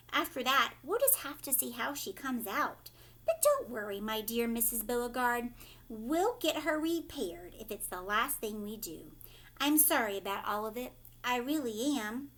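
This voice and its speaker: An eccentric chocolate maker from a children's storybook, read out by a woman. The delivery is theatrical and swings widely in pitch, brisk and matter-of-fact, with exasperation and reassurance in the same high register. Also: lively intonation, close to an everyday voice. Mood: brisk, reassuring.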